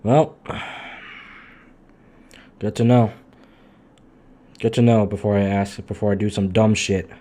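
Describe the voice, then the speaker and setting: A man live streaming, chatting casually off the cuff in a halting, muttering, sweary way. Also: fairly low pitch, steady tone, mellow.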